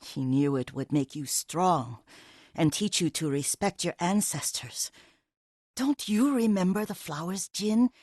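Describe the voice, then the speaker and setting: Husky, slow-spoken elderly Japanese woman. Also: lowish voice, animated, dry recording, studio quality. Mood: fond, nostalgic, then confused, wistful. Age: older. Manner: gentle, deferential, unhurried, reminiscing. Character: elderly Japanese woman, devoted, nostalgic family caretaker. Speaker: video game character. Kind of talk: dramatic video game acting.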